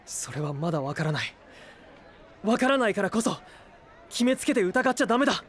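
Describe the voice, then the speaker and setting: A man's voice: sits high, very animated, a little fast, a bit bright, slight background noise. A male actor playing an earnest, hot-blooded young knight in an anime audio drama, speaking with sincere, idealistic conviction. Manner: impassioned, sincere pleading.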